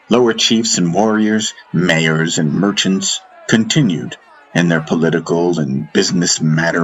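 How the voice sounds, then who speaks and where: A man narrating a fantasy audiobook as dramatic first-person storytelling, in a solemn, measured manner. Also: deep voice, a little hiss or hum.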